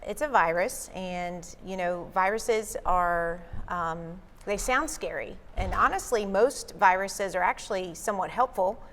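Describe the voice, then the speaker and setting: A calm woman health guest with a lowish voice, speaking in polite, measured explanations. A long studio reverb trails behind her words. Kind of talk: explanatory interview answers. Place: local TV studio.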